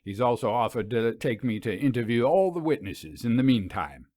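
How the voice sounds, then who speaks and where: Storybook professor, acted by a male reader: self-assured man, brisk, directing others, dry close sound. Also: mid-range voice, expressive, a little mellow, dry recording, close to an everyday voice. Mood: triumphant. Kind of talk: audiobook character dialogue.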